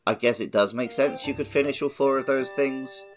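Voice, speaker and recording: A man's voice: medium pitch, steady tone, a little fast. A man co-hosting a gaming podcast in casual, conversational chat. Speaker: podcast co-host. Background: background music.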